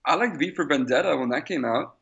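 A man's voice: on the high side, expressive, fast, vocal fry, a bit bright. A man co-hosting a podcast in casual conversation, on a slightly dull recording. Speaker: podcast co-host. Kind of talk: casual chat.

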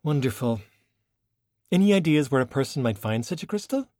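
A man's voice: mid-range voice, very animated, a little fast, darkish tone, no echo, clean studio sound, voice pushed up, close to an everyday voice. A man voicing a casual, blunt, wry young Irish woman in an audiobook. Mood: frank, questioning.

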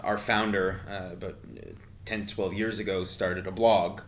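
A man's voice: low voice, level tone, unhurried, a bit bright, a little hiss or hum. A man from Montreal with a Canadian accent, a guest explaining his urban consulting work in an earnest, explanatory way.

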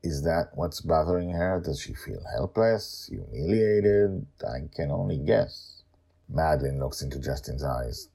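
A man hosting a story podcast, narrating scripted fiction in a theatrical way with dramatic pauses and swoops. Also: a bass voice, sing-song, gravelly, warm tone, breathy edge.

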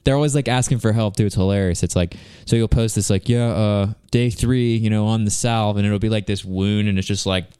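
Casual co-host, man, very low smooth voice, dry close sound, laid-back and joking. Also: fairly quick, hardly any pauses, dark tone, no echo. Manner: laid-back, joking, rambling with many fillers. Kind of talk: casual chat.

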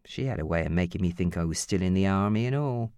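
Woman playing a low-voiced, wry old grandfather. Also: animated, smooth, no echo. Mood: wry, resigned. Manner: unhurried, gently grumbling. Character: easygoing, wry old grandfather, patient and fond.

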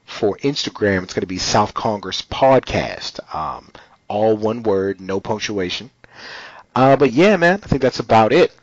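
A man's voice: lowish voice, lively intonation, a little slow, no room sound. A man hosting a podcast, chatting casually in an informal, slangy way. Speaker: podcast host. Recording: dull, lo-fi podcast audio.

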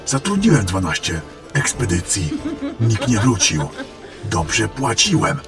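A man's voice: lowish voice, huge pitch swings, measured pace. A man playing a mysterious, sly stranger in a fantasy audio drama, insinuating and smug. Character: mysterious, sly stranger trading secret information. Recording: music under the voice. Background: music.

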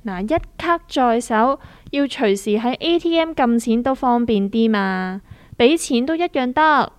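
A woman's voice: on the high side, animated, a little slow, smooth, dry recording. A woman with a Hong Kong accent playing a self-assured, trend-chasing small investor who explains things showily in a comic radio drama. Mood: explaining.

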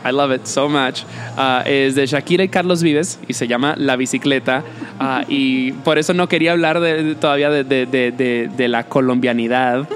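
A woman's voice: rumbling low, steady tone, moderate pace, soft background music. A woman hosting a podcast who chats casually, drops English phrases into her Spanish, and restarts her sentences often. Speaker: podcast host. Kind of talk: casual chat.

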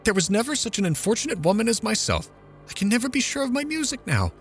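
Petulant, easily affronted mother, voice pitched high and complaining; a male reader puts her on. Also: very animated, normal speed, soft background music. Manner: complaining, indignant, raised pitch. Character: fretful, petulant middle-aged mother.

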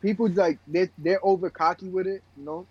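Higher-voiced Brooklyn man, dull lo-fi audio. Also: steady tone, a little fast, breathy edge. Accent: Brooklyn, New York. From Brooklyn, New York. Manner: slangy, profane, emphatic repetition. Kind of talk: casual chat.

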